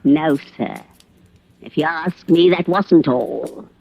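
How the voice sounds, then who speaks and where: Husky, cagey villager. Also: female voice, deep voice, slow pace, darkish tone, full character voice. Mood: ominous hinting. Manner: sly, folksy, drops hints. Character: cagey, gossipy older village woman, deferential but knowing. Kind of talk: golden-age radio drama acting. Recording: muffled vintage radio recording.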